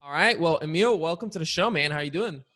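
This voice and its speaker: A man's voice: on the high side, lively intonation, fairly quick, a bit bright, no echo, soft background music. A young man, born in Bulgaria, hosting a podcast interview, upbeat and chatty with lots of "like" and "uh". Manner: upbeat, chatty, many fillers like "uh" and "like". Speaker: podcast host. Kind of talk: casual interview chat with ad read.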